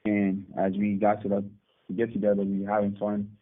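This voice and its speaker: A man in his thirties from Barbados, calling in from prison and telling his story calmly, with hesitant restarts. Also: on the low side, normal speed. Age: 30s. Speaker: guest. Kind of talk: phone interview. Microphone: phone call. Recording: tinny phone line.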